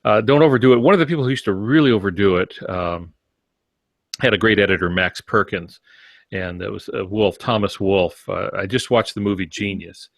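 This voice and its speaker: Older American man, fairly low voice. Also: a little fast, vocal fry, no room sound. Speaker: podcast co-host. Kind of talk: conversational writing advice.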